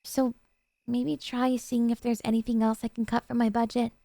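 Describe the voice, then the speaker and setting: Woman playing an obsessive yandere girlfriend, ASMR roleplay, slightly high voice, creaky edges, sweetly coaxing, doting. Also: level tone, warm tone, dry recording. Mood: pleading, dreamy. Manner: coaxing, sweetly earnest, hesitant pauses.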